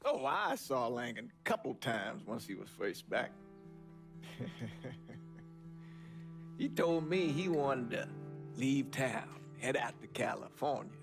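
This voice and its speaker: An actor playing an elderly, gentle, worldly-wise parish priest in a video game, with a measured, reflective manner. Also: male voice, mid-range voice, very animated, over music. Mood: reflective, sombre. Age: older. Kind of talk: cinematic video game acting.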